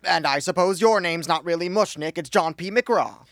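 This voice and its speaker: A man's voice: high-pitched, normal speed, a bit bright, dry recording, studio quality. A man acting in a comedic radio play as a garrulous, folksy baseball business manager and barkeeper with a colloquial, rambling delivery. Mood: exasperated, worried. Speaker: student radio actor.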